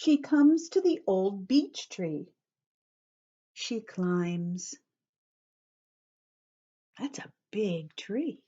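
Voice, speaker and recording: A woman's voice: mid-range voice, sing-song, extremely slow, pauses often, mellow, highs cut off. A woman reading a picture book aloud to young children, explaining words as she goes and sometimes dropping to a whisper. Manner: drops to a whisper at times. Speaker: children's storyteller.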